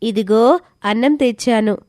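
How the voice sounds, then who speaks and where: A woman's voice: fairly high pitch, a little slow, a little mellow, no room sound, clean studio sound, close to an everyday voice. A woman voicing a polite, earnest, reserved village girl in a scripted moral story.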